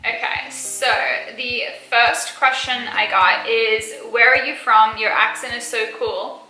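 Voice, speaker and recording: A woman's voice: fairly high pitch, steady tone, measured pace, sharp tone, echoey room, soft background music, poor audio. Giggly young woman with a mild Australian accent. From South Africa, raised partly in Australia. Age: early 20s. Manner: chatty, many fillers, frequent giggles. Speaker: beauty and lifestyle YouTuber. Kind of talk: casual chat, answering viewer questions.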